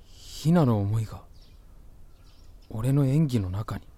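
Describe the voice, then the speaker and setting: A man's voice: medium pitch, expressive, slow, warm tone, slightly rough. A man playing a passionate, grief-stricken young student actor in an audio drama. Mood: dejected. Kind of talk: fan audio drama acting.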